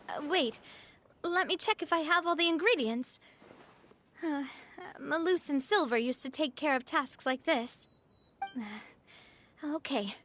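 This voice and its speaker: A woman's voice: sits high, a bit bright, slight background noise. An actress playing a cheerful, caring young woman who leads her own crew in a game.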